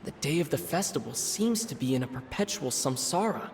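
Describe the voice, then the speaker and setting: Pensive young traveler in reverb. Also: male voice, on the high side, lively intonation. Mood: pensive, uneasy. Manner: musing, deliberate. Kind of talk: video game inner monologue. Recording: long echoing reverb. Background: music.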